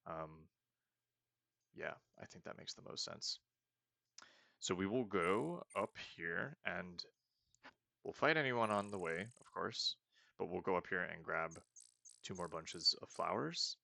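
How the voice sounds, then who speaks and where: Low, gravelly-voiced man playing a game. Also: lively intonation, slow pace, pauses often, no echo. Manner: thinking aloud, often trailing off into soft mutters. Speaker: let's play host. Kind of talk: casual game commentary, planning aloud.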